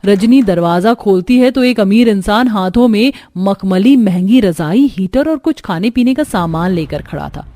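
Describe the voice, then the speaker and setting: Woman narrating a cartoon story, mellow voice. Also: mid-range voice, expressive, moderate pace, hardly any pauses, no room sound, studio quality.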